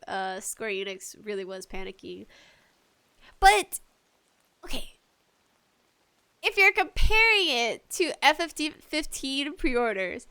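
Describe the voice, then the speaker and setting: Female podcast host, high-pitched and bright; casual, opinionated gaming rants, animated and giggly. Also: dry recording, studio quality. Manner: animated ranting with frequent giggles.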